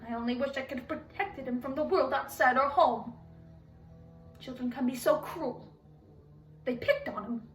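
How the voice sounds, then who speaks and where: Woman playing a sad defensive mom in a stage monologue, high voice, halting, pleading, echoey room. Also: soft background music, natural voice. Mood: fond, wistful. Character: grieving, defensive, doting mother of a troubled son. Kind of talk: dramatic monologue for speech competition. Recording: echoey room, distant microphone.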